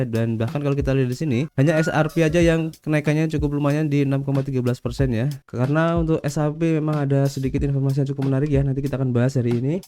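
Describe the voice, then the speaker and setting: An Indonesian-accented man runs through crypto news at a brisk clip, his voice a little blocked and nasal from a cold. His tone is soft and mellow, and he keeps tagging phrases with "ya" and "guys" in a chatty way. A faint hiss sits under the recording. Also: mid-range voice. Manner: chatty, adds "ya" and "guys" to most lines. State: stuffy nose from a cold. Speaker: crypto news channel host. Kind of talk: casual crypto news roundup.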